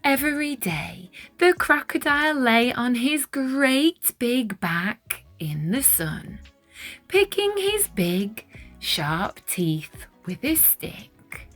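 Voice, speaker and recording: A woman reading a children's picture book aloud in an expressive, deliberate storytelling style with dramatic stress on key words. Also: a little high, soft background music.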